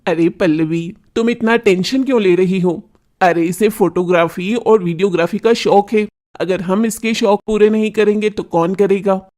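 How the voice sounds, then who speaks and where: A man playing an indulgent, easygoing middle-aged father in a children's cartoon story, gentle and reassuring. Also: sits high, normal speed, natural voice.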